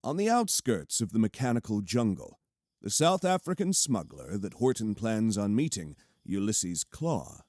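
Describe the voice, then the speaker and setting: A man's voice: on the low side, big pitch swings, measured pace, a little mellow, no echo, heavily acted. A man narrating an audio drama in a dramatic, scripted style. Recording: music under the voice. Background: cinematic music.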